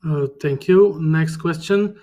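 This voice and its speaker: A man's voice: fairly high pitch, slow pace, mellow. A man moderating a webinar over a video call, politely reading audience questions from a list.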